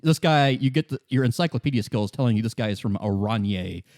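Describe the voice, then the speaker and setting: A man's voice: medium pitch, lively intonation, fairly quick, darkish tone, no echo, studio quality. A man working as game master, narrating a tabletop story in a conversational, improvised way.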